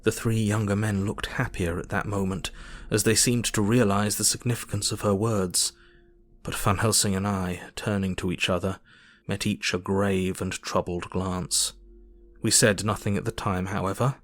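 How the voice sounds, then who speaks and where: An actor playing a sober Victorian doctor who narrates his diary in an audio drama, measured and formal. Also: lowish voice, steady tone, natural voice. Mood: measured, grave.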